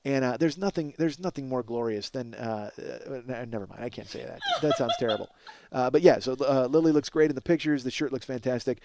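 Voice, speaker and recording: A man's voice: medium pitch, fairly quick, very noisy. Rambling, profane comic podcast host, male. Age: middle-aged. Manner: profane, self-deprecating, exclamatory. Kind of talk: rambling casual comic monologue with plugs.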